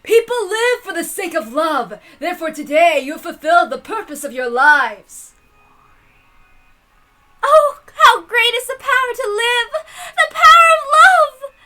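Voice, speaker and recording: A woman's voice: very high voice, very animated, a little slow, pushed and bright, faint music behind, pitched above the performer's own voice, exaggerated voice. A woman voicing a theatrical demon in a story reading, declaiming in a grandiose, fervent way. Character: gleeful theatrical demon proclaiming twisted love.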